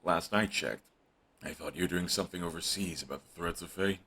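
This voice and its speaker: A grumpy male supervillain, voiced by a man, with a deep, husky voice. He talks in a sarcastic, dismissive way in an audio roleplay drama. He sounds tired of his visitor. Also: fairly quick, pushed and bright, heavily acted. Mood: irritated. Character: snarky, grumpy male supervillain, middle-aged. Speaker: voice actor.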